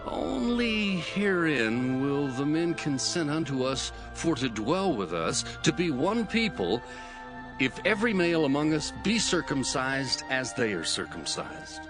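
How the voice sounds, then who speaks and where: A persuasive ancient ruler acted by a man addresses listeners in an audio Bible drama. His voice is fairly high and declamatory, rising and falling animatedly over clear background music. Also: very animated, unhurried. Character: Hamor, persuasive ruler and father.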